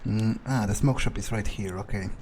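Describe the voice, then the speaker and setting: Mellow-voiced man musing in character. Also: on the low side, normal speed, slight background noise. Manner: theatrical, musing, self-assured, talks to himself. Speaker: gamer playing in character. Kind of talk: in-character game playthrough commentary, thinking aloud.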